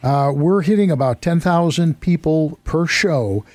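A man hosting a talk radio show from a studio, his voice hoarse and worn as he loses it. He chats along casually, jokes at himself and rambles between the announcements. The sound is dry and close, with no room around it. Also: on the high side, measured pace, a little mellow, no echo. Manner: chatty, self-deprecating, rambling. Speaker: radio host. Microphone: studio microphone.

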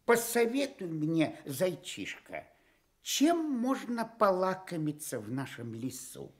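An actress playing a naive, self-satisfied stork in a children's radio story, theatrical and musing. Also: female voice, lowish voice, sing-song, slow pace, heavily acted. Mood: curious.

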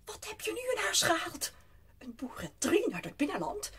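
Haughty female governess, radio-play style: high, very animated, scornful. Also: normal speed, exaggerated voice. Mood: scornful, indignant. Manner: indignant, snobbish, disdainful. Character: stern, haughty governess, scornful of country children. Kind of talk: audio drama acting.

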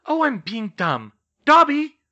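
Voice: medium pitch, very animated, brisk, fairly bright tone, dull audio, pitched above the performer's own voice. A narrator voicing an earnest, anxious teenage witch girl with an emphatic manner, in a fantasy audiobook. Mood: excited.

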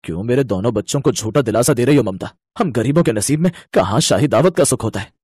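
An actor playing a poor, bedridden, resigned husband in a storybook tale, speaking wearily. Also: male voice, mid-range voice, lively intonation, fast, darkish tone, husky, no echo, clean studio sound.

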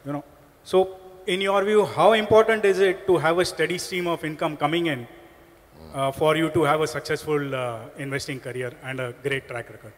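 Brief: panelist, slightly high voice, halting with fillers, hall reverb. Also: male voice, steady tone. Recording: reverberant hall, lapel mic.

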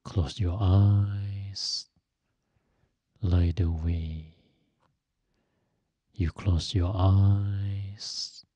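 Man, soft dark husky voice, guiding meditation super slowly like a monk teacher. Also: low voice, little pitch movement, no echo. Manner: gentle, instructive, phrase by phrase with long pauses. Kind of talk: guided meditation.

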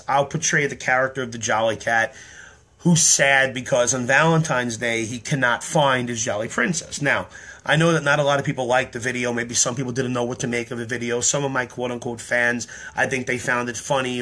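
Man in his thirties, a little hoarse and tired, rambling earnestly to a close webcam in a small room. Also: mid-range voice, pushed and bright. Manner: earnest, rambling explanation with many ums. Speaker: online performer explaining his act. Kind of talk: casual talk to camera. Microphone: webcam or phone at close range.

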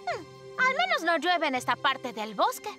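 A kind, adventurous little girl in a children's cartoon. Her voice is very high and bright, cheerful and unhurried, over faint music. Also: wildly swooping pitch, exaggerated voice. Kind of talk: animated children's cartoon dialogue.

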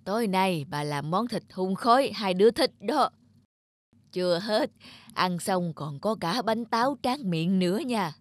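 A woman voicing a kindly, patient elderly grandmother in a children's cartoon, speaking gently and affectionately. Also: medium pitch, animated, unhurried, dry recording, clean studio sound.

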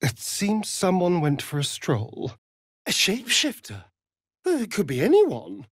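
A man's voice: sits high, huge pitch swings, measured pace, gravelly, slightly rough, no room sound, exaggerated voice. A mocking vampire elf rogue for a fantasy game, speaking in an English accent with swooping, theatrical intonation. He takes his time over each phrase, languid, vain and teasing. The sound is dry and close, with no room on it. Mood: dry, wry.

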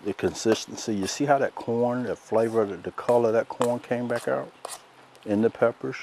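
A soft-spoken middle-aged man, demonstrating a recipe outdoors as a cooking show host in a relaxed, folksy way. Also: mid-range voice, normal speed, slight background noise. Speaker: chef host. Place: outdoors by a lake in woods.

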